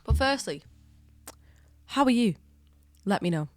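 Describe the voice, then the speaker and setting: A woman's voice: fairly high pitch, expressive, a little slow, no echo. A woman musician hosting a live stream, chatting casually with viewers and greeting them by name, her nose a little stuffed up, on a studio dynamic mic. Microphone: studio dynamic microphone. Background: guitar playing.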